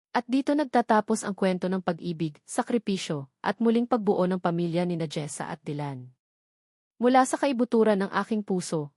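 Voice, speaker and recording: A woman's voice: on the low side, a little mellow, no echo, studio quality. A woman reading a scripted romantic drama as a storyteller, in an earnest, sentimental manner. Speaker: storyteller and channel narrator.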